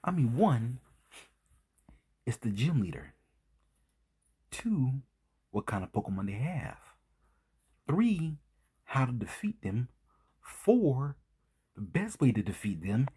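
A man giving informal video commentary as host, husky and breathy, emphatic and halting, full of rhetorical questions. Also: medium pitch, sing-song, mellow.

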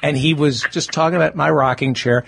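A man with a husky voice hosting a casual interview, talking anecdotally in halting runs with repeated words. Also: on the high side, fairly even intonation, fairly bright tone, no room sound. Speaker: podcast host and comedian.

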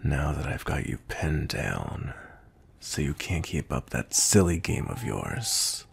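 A man playing a cold, possessive, dominant vampire knight in an intimate ASMR roleplay, with a slow, breathy, menacing murmur. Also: rumbling low, very animated, heavily acted. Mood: seductive, menacing. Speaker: audio roleplay voice actor.

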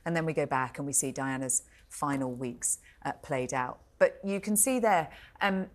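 A British woman in her forties giving measured, articulate commentary as a royal editor in a television studio, stressing key words. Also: very deep voice. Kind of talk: live broadcast review and commentary.

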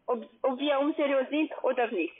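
A woman's voice: high-pitched, normal speed. A curt, argumentative woman with a foreign accent, working as a call centre agent, not a native German speaker. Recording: tinny telephone line.

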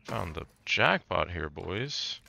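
Raspy, laid-back gaming host. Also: male voice, low voice, sing-song, takes it slowly, sharp tone. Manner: laid-back, easily startled exclamations. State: hoarse from a cold. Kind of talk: casual gameplay commentary.

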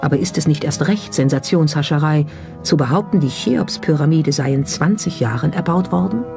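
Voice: medium pitch, brisk, gravelly. A documentary narrator reading a scripted narration. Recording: music under the narration. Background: music.

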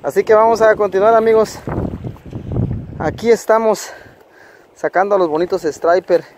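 A man talks to his viewers while fishing, his voice pitched high. He keeps urging his friend to reel slowly. Wind and rushing water hiss loudly over the phone mic. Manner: excited, coaching a friend. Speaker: fishing vlogger. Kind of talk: casual outdoor narration to viewers. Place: outdoors on a rocky lakeshore. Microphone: handheld phone camera.

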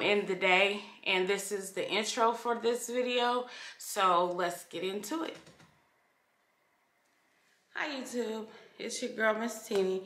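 A woman's voice: medium pitch, fairly bright tone. A middle-aged woman chatting casually to camera as a vlogger, recorded indoors on a camera microphone. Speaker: vlogger, channel host. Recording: roomy echo from camera microphone.

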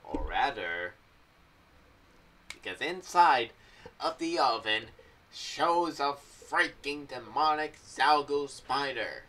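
Young male vlogger, lowish bright voice, casual rambling theory talk in a home room. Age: young adult. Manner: rambling, loosely phrased. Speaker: YouTuber reviewing an audio drama series. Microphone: condenser mic on boom arm with pop filter, slightly off to the side. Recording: slightly distant mic, faint background noise.

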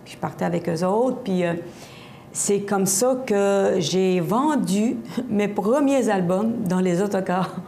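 A middle-aged woman from Havre-Saint-Pierre with an Acadian accent, answering a TV interviewer in a studio on a lapel mic, lively and talking with her hands, with many fillers and restarts. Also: mid-range voice, slow pace, creaky, slight background noise. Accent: Acadian French. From Havre-Saint-Pierre, Quebec. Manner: expressive, gestures a lot, many restarts and fillers. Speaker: singer-songwriter guest. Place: TV studio set.

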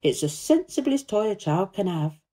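Cheerful young housemaid, acted by a woman, Yorkshire accent, chatty, blunt, animated pitch, husky, storybook character. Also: moderate pace. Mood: encouraging. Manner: chatty, blunt, dialect speech.

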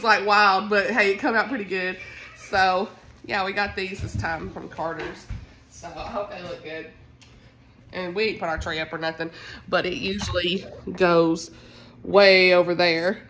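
A woman's voice: medium pitch, a bit bright. A woman with a Southern US accent and a congested voice, chatting informally and expressively as a book vlogger. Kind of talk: casual chatty vlog. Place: at home.

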